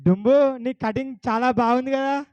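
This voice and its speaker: A man's voice: very high-pitched, moderate pace, a little mellow, smooth, dry recording, highs cut off. A man with a Telangana Telugu accent hosting a puppet show, coaxing a child audience with questions. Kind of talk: lively talk to a child audience.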